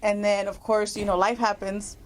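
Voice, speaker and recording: A woman guest from Mexico, chatting casually in an interview, animated and laughing often. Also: medium pitch, moderate pace. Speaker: guest actress.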